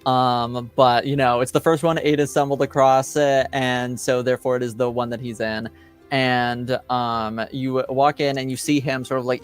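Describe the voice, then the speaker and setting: A man's voice: medium pitch, clear, resonant tone. A man improvising narration as game master of a tabletop game, searching for his words as he goes.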